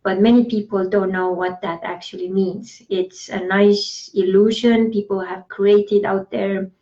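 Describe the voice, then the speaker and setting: A woman's voice: medium pitch, fairly even intonation, a little slow, dark tone, lo-fi sound. A woman from Estonia with an Estonian accent, co-hosting a casual chat and laughing often. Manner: chatty, laughs often, reads definitions aloud. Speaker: podcast co-host.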